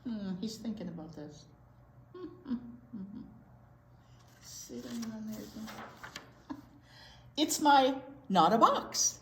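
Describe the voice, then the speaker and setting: This is a woman reading aloud to small children with playful, exaggerated expression. Her voice swoops widely and sits fairly high. It is recorded in an echoey room with some background noise. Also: sing-song, very slow, pauses often, a bit bright. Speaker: children's storytime host and teacher. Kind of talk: read-aloud to young children. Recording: roomy echo, clear background noise.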